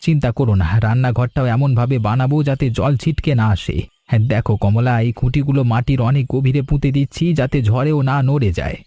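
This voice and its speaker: A man voicing a kind, steady middle-aged husband and father in a cartoon story, talking in a calm, reassuring way. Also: medium pitch, brisk, no room sound, lightly acted. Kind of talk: cartoon voice acting.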